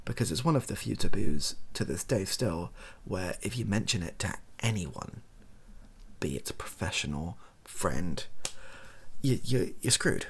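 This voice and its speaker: A softly spoken British man improvising a monologue as a video host, hesitant, thinking aloud and correcting himself as he goes. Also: low voice, lively intonation, measured pace, vocal fry, slight background noise. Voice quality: soft-spoken.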